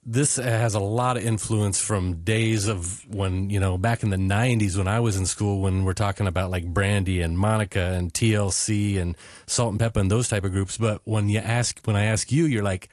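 Brief: male host in his forties, low voice, teasing banter, dry close mic. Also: steady tone, darkish tone, no echo. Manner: friendly, teasing, quick questions. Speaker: radio host. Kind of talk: casual interview.

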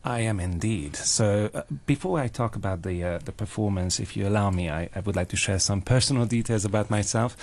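The Hungarian actor you would hear as a morning radio guest, a man with a slightly low voice, telling his story earnestly and choosing his words with care. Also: brisk, hardly any pauses, darkish tone. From Budapest, Hungary. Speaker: interview guest, actor and playwright. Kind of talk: personal storytelling in a radio interview.

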